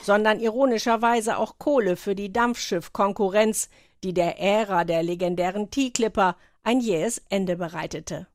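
A woman's voice: mid-range voice, moderate pace, no room sound, studio quality. A woman radio correspondent narrating a scripted feature report.

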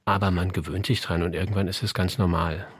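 A man in his fifties from Saarland, chatting in a relaxed radio interview as a guest and telling stories with frequent fillers. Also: low voice, fast, husky, no room sound, studio quality. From Saarland, Germany. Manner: chatty storyteller, frequent fillers, light laughs. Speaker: crime novelist and bus driver, interview guest.

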